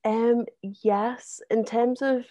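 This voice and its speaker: A woman, a guest on a casual podcast, talking with many ums and likes and getting animated in bursts. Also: a little high, very slow, no echo. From North West England. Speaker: interview guest, zine co-founder.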